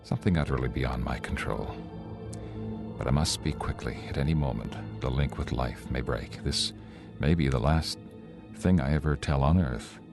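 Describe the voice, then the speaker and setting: A man acting a haunted, anxious traveller in his thirties in an old-time radio play, speaking urgently and haltingly. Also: extremely low pitch. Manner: urgent, halting, repeating himself. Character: haunted 36-year-old male traveller, anxious, insisting he is sane. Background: music.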